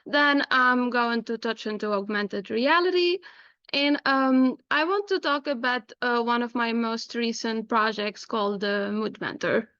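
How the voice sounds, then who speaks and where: Woman w/ doing a casual online talk, higher smooth voice, slightly muffled dry call audio. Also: a little slow, sharp tone, no echo. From Tunisia. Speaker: guest speaker, XR developer. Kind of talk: informal online presentation. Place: indoors, online video call.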